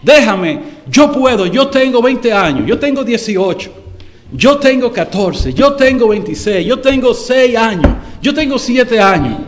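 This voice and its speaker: A man preaching a sermon to his congregation, swelling louder and slowing down for emphasis. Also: extremely high pitch, big pitch swings, slight background noise. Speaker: pastor.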